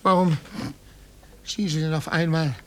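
An actor playing a timid, naive bookkeeper in a radio play, pleading anxiously and servilely for mercy. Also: male voice, fairly high pitch, fairly even intonation, moderate pace, natural voice. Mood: frightened. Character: timid, naive bookkeeper, terrified male petty bourgeois. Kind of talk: 1950s German radio play acting.

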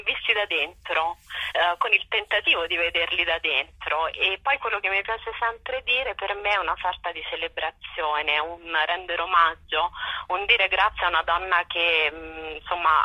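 A woman's voice: on the low side, bright, forceful tone, slightly rough, dry recording, low-quality recording. A woman speaking as a guest playwright in a conversational phone interview, reflectively rephrasing as she explains.

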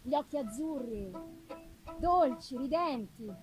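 A woman voicing an affectionate, anxious young gentlewoman in a theatrical, unhurried reading of a letter from a gothic novel. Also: high voice. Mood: fond. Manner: expressive, unhurried dramatic delivery with pauses. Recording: live music behind the voice.

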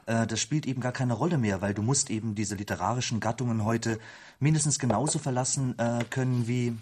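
A man's voice: mid-range voice, fairly quick, darkish tone, breathy edge. A man pressing his point insistently in a spontaneous intellectual debate. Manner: insistent, argumentative. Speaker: discussion participant.

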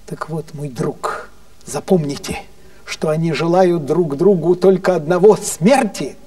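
High-voiced worldly theatre insider giving confiding warnings acted by a man. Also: unhurried, warm tone, distant mic, lightly acted. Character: worldly theatre insider, a man, dryly cautioning a younger friend.

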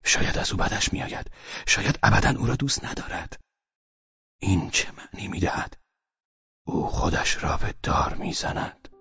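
A man's voice: lowish voice, slightly rough, no room sound, exaggerated voice. A man playing an anxious, agitated nobleman. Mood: brooding. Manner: rising, excited outbursts and hushed whispers. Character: agitated middle-aged nobleman, anxious and brooding. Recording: dry, slightly dull recording.

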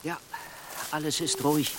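A man's voice: fairly high pitch, fairly even intonation, moderate pace, background noise, close to an everyday voice. An actor playing a wary, weary young man in a radio drama, speaking in a terse, reserved way. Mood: cautious.

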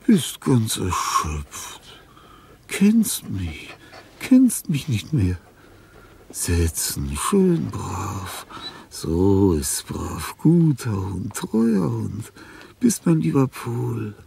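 A lonely old composer near death in a radio play. His voice is deeply creaky and breathy, rambling slowly between tenderness and confusion, with wide swings from murmur to cry. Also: wildly swooping pitch, a little mellow, a little hiss or hum, exaggerated voice. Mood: tender, remorseful. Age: older. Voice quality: gravelly, husky, breathy. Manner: halting, swinging between whispers and cries. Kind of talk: German radio drama acting.

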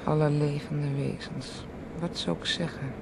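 A man's voice: fairly high pitch, level tone, unhurried, vocal fry. An actor in a radio play playing a gloomy, fatalistic man who draws out his words. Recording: noisy, distant radio play recording.

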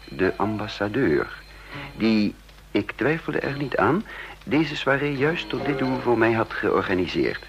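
A man's voice: mid-range voice, creaky, fairly bright tone. Een man die in een hoorspel een onrustige geheim agent speelt, die aarzelend en piekerend zijn eigen raadsel vertelt, op een oude radio-opname. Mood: tense, reflective. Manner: brooding, hesitant, self-questioning. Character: uneasy male secret agent narrating his own puzzle. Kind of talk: radio drama acting, first-person narration. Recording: dull lo-fi old radio recording.

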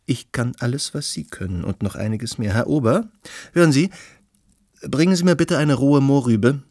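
An actor playing an eloquent, worldly and uncanny gentleman in a radio play, speaking formally and musingly in a husky voice. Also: medium pitch, expressive, moderate pace, no echo, clean studio sound. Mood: self-assured.

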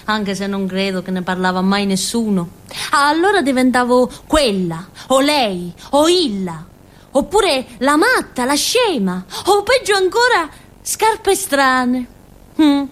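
An actress playing a naive, giggly village outcast woman with a Calabrian accent, in a halting, repetitive theatrical monologue. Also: high voice, big pitch swings, full character voice. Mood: naive, wistful. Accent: southern Italian, Calabrian.

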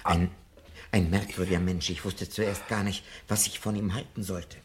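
An actor playing an older, learned professor in a radio drama, speaking in an earnest, deliberate and emphatic way. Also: male voice, deep voice, flat delivery, natural voice. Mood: thoughtful, earnest. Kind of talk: 1970 German radio drama acting. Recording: radio drama with faint background noise.

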